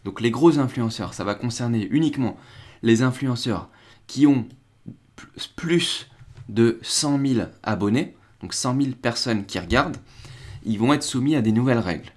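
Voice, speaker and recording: A man's voice: mid-range voice, animated, moderate pace, a little mellow. A young man casually commenting on crypto news during a live stream, rambling with restarts and reading from the chat. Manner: rambling, restarts and fillers, chats with viewers.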